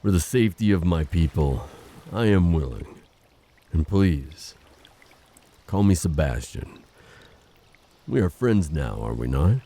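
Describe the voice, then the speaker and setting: Man as a very deep-voiced, solemn sea prince. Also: lively intonation, full character voice. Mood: earnest, dutiful. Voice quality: very deep, dark. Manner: formal, grave, measured. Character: solemn, dutiful undersea prince guarding his hunted people. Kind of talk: audio drama acting.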